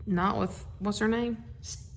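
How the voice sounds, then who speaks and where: A woman's voice: mid-range voice, steady tone, unhurried, gravelly, a little hiss or hum. A middle-aged woman chats casually and effusively into a phone, rambling through asides as she introduces a bedtime story reading. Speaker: host of a family social media video. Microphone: handheld phone.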